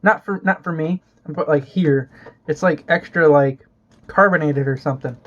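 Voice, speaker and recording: A man's voice: on the high side, normal speed. A young man from Florida chatting casually as a vlogger, rambling and swearing with lots of fillers and restarts. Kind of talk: casual chat. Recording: dull lo-fi home recording.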